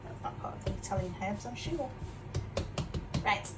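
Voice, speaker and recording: A woman's voice: mid-range voice, animated, normal speed, loud music behind the voice. An older woman from Yorkshire with a mixed northern English accent, chatting casually and self-deprecatingly while she cooks in her home kitchen, often tagging her sentences with questions. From Yorkshire, England. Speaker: cooking vlogger. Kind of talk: casual chatty cooking demonstration. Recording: distant mic with clear background noise.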